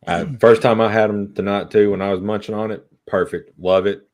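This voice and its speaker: A man co-hosting a podcast with a somewhat low voice. He talks in an eager, easygoing way, and he keeps to relaxed, casual chat. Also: fairly quick. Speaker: podcast co-host.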